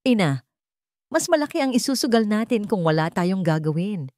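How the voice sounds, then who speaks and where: Lady narrator doing brave young daughter in a tagalog story, earnest, determined, lively pitch, very dry sound. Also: normal speed, no room sound, close to an everyday voice. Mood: resolute pleading. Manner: earnest, resolute.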